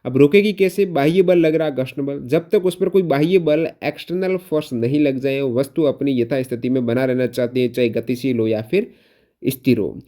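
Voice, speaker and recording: A man with a soft, mellow voice at a medium pitch teaches an exam review lesson. He poses questions and then repeats the answers for emphasis, dropping English technical terms into his explanations. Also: moderate pace.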